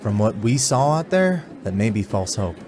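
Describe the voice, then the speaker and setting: A man's voice: on the low side, very animated, moderate pace, darkish tone. A man playing an earnest, good-hearted young soldier in a fantasy audio drama. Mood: weary, earnest. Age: young adult. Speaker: voice actor.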